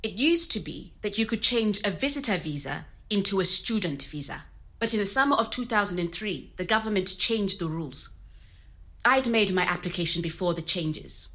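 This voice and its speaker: A woman's voice: mid-range voice, a bit bright, natural voice. An actress playing a candid, resilient woman, telling her story conversationally. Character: Zimbabwean woman immigrant in Nottingham, candid and resilient. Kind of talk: scripted oral-history audio drama told as interview.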